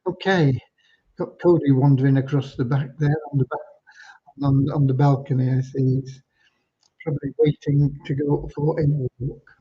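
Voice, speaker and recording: A man from Cornwall hosting an informal livestream, talking in an unhurried, earnest, slightly preachy way. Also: medium pitch, fairly even intonation, breathy edge. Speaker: livestream host and community leader. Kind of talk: informal livestream updates with devotional talk. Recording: dull lo-fi livestream audio.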